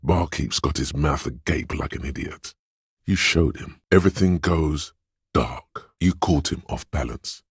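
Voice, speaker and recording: A man voicing a suave, wry inner voice of a detective in a video game, narrating in a measured, knowing way. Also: very deep voice, breathy edge, no room sound, clean studio sound, exaggerated voice. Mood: sly, urging. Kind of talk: second-person game narration.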